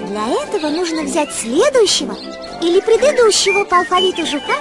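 A woman's voice: very high-pitched, very animated, fairly quick. A woman voicing a friendly young creature who kindly guides the player in a children's game. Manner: gentle, encouraging, guiding the player. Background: music.

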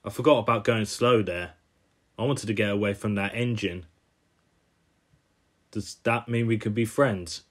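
A man's voice: on the low side, unhurried, long pauses. A man voicing a meek male engine with an apologetic, hopeful manner, cartoon style. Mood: shy.

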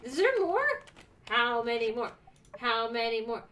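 A young woman comments sarcastically and bluntly over a game she is playing. Her voice is bright and sharp and a little high. It sits slightly back from a desk mic, with faint noise in the room. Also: sing-song, recorded from a distance. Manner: sarcastic, blunt, quick reactions. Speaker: gaming streamer. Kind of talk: casual let's-play commentary. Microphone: desk mic, webcam.

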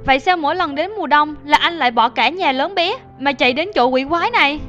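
A woman's voice: high-pitched, quick pace, fairly bright tone, highs cut off, soft background music. A woman playing an angry, hurt wife in a dubbed cartoon, speaking in an accusing, demanding way. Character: angry, hurt wife confronting her absent husband. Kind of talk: dubbed animation acting.

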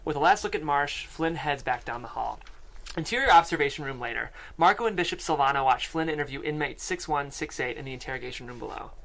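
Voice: mid-range voice, lively intonation, fast, fairly bright tone, low-quality recording. A narrator with a mid-range voice reads out a screenplay at a table read. The delivery is scripted narration, with scene headings and action lines, spoken into a studio microphone. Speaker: stage-direction reader at a table read. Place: podcast studio with several people.

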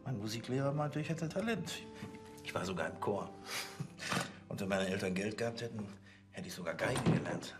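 A man's voice: on the low side, animated, moderate pace, pauses often, over loud music, close to an everyday voice. An actor playing a pompous, fussy police chief who tacks "hm?" onto his orders. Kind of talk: TV crime comedy acting.

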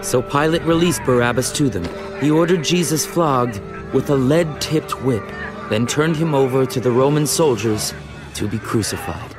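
A man narrating scripture from a script in a measured, dramatic storytelling manner. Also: mid-range voice. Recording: music behind the voice.

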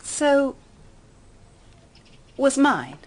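A woman's voice: sits high, sing-song, extremely slow, a little mellow, full character voice. An actress playing a middle-aged stepmother in a British radio drama, emotionally fragile yet brave, with a British accent. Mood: careful, rueful.

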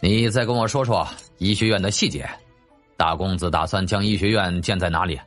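A man's voice: low-pitched, fairly quick, a bit bright, faint music behind. A man voicing an authoritative male government official in an audiobook, commanding and inquisitive. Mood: questioning. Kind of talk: audiobook character acting.

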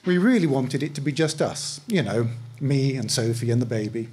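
A middle-aged man with a husky voice, reading a first-person short story aloud in a conversational, wry way. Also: medium pitch, animated, moderate pace, a little mellow. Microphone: microphone on stand, close.

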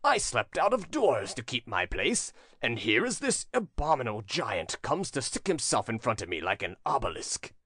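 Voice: mid-range voice, sing-song, fairly bright tone, husky, no room sound, studio quality, heavily acted. A storybook narrator voicing a self-pitying hunchback man who complains in a tone of lamentation. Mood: plaintive, aggrieved. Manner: lamenting, slow, plaintive.

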